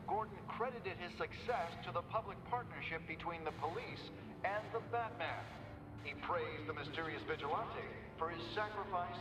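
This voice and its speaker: Man playing an upbeat, higher-pitched news announcer, roomy sound. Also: natural voice. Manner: polished, upbeat, folksy. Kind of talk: scripted news broadcast in a video game. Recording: distant, roomy, noisy broadcast sound.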